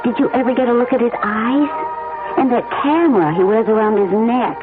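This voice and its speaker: Actress as affectionate, nagging wife, tinny radio. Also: female voice, a little high, fairly quick, natural voice. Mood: uneasy, nagging. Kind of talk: old-time radio drama acting. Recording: tinny band-limited radio recording, music behind.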